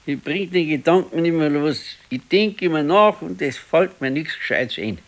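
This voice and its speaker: A man's voice: a little high, expressive, moderate pace. An actor playing a gloomy, ailing pensioner in his sixties in a radio play, talking plainly and colloquially with a Bavarian accent. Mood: brooding. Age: 60s. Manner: plain, colloquial, brooding complaint. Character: gloomy ailing old pensioner husband, fearful and brooding. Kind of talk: naturalistic radio play dialogue.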